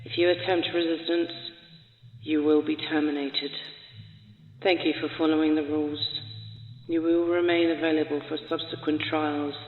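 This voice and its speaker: Lady doing a cold facility guard, lowish loud voice, clipped formal orders, bossy, audio drama. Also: flat delivery, normal speed, roomy sound, long reverb tail, narrow, tinny audio, close to an everyday voice. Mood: cold, commanding. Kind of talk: dark fantasy audio drama.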